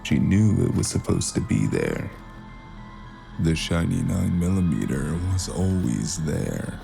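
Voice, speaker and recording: A man's voice: sits low, big pitch swings, measured pace, creaky, over music, exaggerated voice. A man playing a cold, calculating killer who narrates a cyberpunk noir audio drama in ominous, clipped phrases. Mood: ominous, suspenseful.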